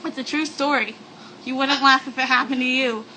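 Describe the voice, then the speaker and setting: A woman's voice: sits high, fairly even intonation, moderate pace, sharp tone, a little hiss or hum, low-quality recording. A woman reading a story aloud in a sarcastic, mock-dramatic comic manner, with joking asides. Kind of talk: comedic read-aloud with mock-spooky asides.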